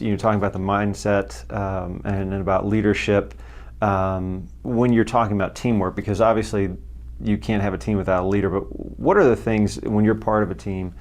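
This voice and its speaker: A man's voice: fairly low pitch, fairly even intonation, moderate pace, a little mellow. A man hosting a podcast interview, asking questions and acknowledging the guest often. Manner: encouraging, frequent short acknowledgments. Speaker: podcast host.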